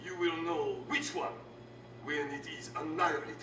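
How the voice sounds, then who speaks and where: An actor playing a proud, menacing Japanese military leader in a video game, speaking in a formal, oratorical way. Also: male voice, a little high, fairly even intonation, normal speed, far from the mic, background noise, lightly acted. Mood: enraged. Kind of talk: dramatic game cutscene acting. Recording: roomy echo, loud music behind.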